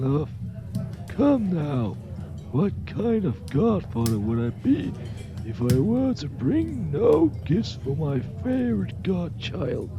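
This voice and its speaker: Kindly old godfather, played by a man, audio drama. Teasing, fussing, affectionate; high voice with big swings in pitch. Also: lo-fi sound, noisy background, full character voice. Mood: playful, fond. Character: kindly, jolly old godfather doting on a child. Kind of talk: scripted audio drama acting. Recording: music under the voice. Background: music.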